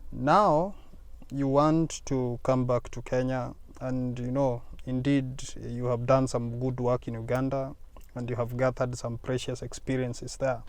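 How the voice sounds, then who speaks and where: A male interviewer with a smooth, resonant voice asks long, winding questions in a relaxed, conversational way. The sound is dry and close, with almost no room echo. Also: mid-range voice, a little slow.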